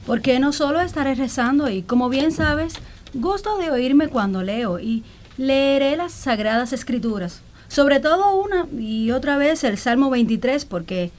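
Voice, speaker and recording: A devout young lady of the house, formal and quietly determined. Her voice is fairly high and her phrasing measured, in the manner of a period radio drama. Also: slight background noise. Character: pious, quietly determined young gentlewoman, a daughter.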